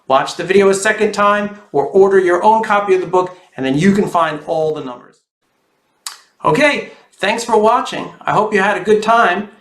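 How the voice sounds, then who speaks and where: Guy doing kids read aloud, bit high voice, expressive, talks right to the kids, really echoey. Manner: expressive, addresses young viewers directly. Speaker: children's author reading aloud. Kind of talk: animated storybook read-aloud and friendly address to children. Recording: roomy, echoey sound.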